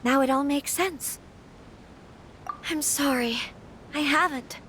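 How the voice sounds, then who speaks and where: High-voiced, anxious young noblewoman. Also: slow, fairly bright tone, slight background noise. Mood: troubled, reflective. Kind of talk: video game voice acting.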